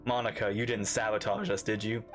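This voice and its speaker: A game streamer giving casual, playful reaction commentary, halting and repeating phrases. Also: medium pitch, level tone. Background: faint game music.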